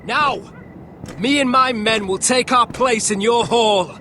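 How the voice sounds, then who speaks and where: An arrogant young lord from a video game drama. The voice is high and domineering, taunting and cursing as it gives orders. Clear outdoor rumble sits behind it. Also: male voice, slow pace, bright, forceful tone, heavily acted. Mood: smug, threatening. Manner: foul-mouthed, taunting, domineering. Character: arrogant, bullying young male lord of occupying soldiers.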